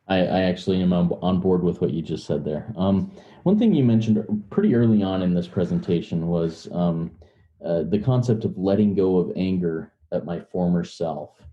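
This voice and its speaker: Slightly muffled audio carries a man with a deep, very dark and mellow voice. He hosts a reflective conversation, choosing his words in measured, hesitant phrases. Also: animated. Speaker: podcast host, interviewer.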